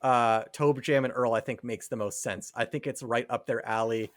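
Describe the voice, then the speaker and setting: A man's voice: mid-range voice, steady tone, normal speed, no room sound, studio quality. A man co-hosting a podcast in casual chat, thinking aloud a little hesitantly. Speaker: podcast co-host.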